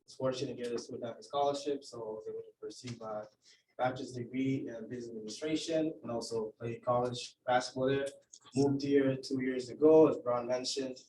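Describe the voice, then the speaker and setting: A man's voice: medium pitch, steady tone, brisk, mellow. Courteous man from Tanzania, echoey room. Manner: courteous, earnest. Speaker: guest photographer. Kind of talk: polite self-introduction. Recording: echoey room, distant mic, faint hum.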